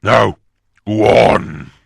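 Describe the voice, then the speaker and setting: A huge storybook bear-man, low and gravelly. He speaks in slow, heavy, blunt commands with a wry, suspicious growl. The sound is dry and close. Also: steady tone, no echo, exaggerated voice. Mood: amused, commanding.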